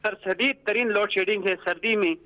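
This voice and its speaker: Guy phoning in, high bright forceful voice, polite but pushing his complaints. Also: fairly even intonation, brisk, poor audio. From Gilgit, Pakistan. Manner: respectful, insistent, often says sir. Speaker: caller to a phone-in. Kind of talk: putting questions and complaints. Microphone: telephone call.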